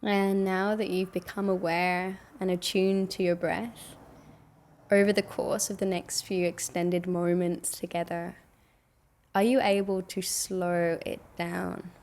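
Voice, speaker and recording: Woman doing guided meditation, really slow n gentle, soothing, flat steady pitch, lots of pauses. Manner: soothing, gentle, unhurried delivery with long pauses.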